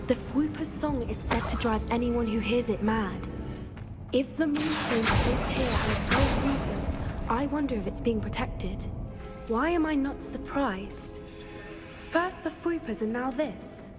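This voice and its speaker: A woman playing a curious, bookish, adventurous teenage witch in a video game, articulate and wry. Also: fairly high pitch. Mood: intrigued. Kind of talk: video game companion dialogue.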